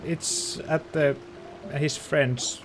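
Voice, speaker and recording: A man giving casual let's play commentary, hesitant, reacting in short, halting bursts. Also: a little high, steady tone, music in the background, low-quality recording.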